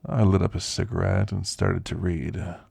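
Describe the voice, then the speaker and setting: Gravelly, deep old werewolf played by a man. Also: little pitch movement, darkish tone, dry recording, full character voice. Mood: wistful, reflective. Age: older. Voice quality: gravelly, husky. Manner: folksy, unhurried reminiscing. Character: elderly male werewolf, reflective, gentle storyteller recounting his past. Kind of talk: ASMR roleplay monologue.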